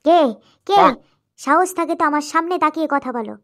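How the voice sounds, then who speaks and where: A woman voicing a high, defiant young wife. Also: a little fast. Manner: pleading, defiant. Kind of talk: homemade cartoon voice acting. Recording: loud background music.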